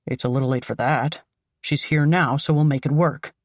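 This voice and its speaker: A woman voicing a gruff, menacing male kidnapper boss who speaks with cold contempt. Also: low voice, steady tone, normal speed, no room sound, clean studio sound, pitched below the performer's own voice.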